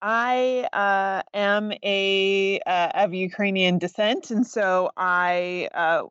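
A woman academic speaks in a measured, thoughtful way, pausing with small fillers as she explains. Her voice sounds distant and dull, like remote lo-fi audio. The sound is dry. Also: medium pitch, a bit bright, smooth, no room sound. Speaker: guest author, professor. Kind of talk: podcast interview answers.

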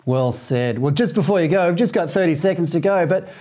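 A man's voice: a little high, animated, brisk, dark tone. A man with an Australian accent hosting a talk show, chatting with a guest and then reading news commentary. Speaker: host.

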